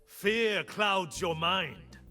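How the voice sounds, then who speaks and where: A male actor playing a stern, disciplined martial arts monk warrior in a video game, speaking in solemn, measured pronouncements. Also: high voice, pushed and bright, faint music behind, exaggerated voice. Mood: stern, resolute. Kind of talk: game voice lines, combat barks.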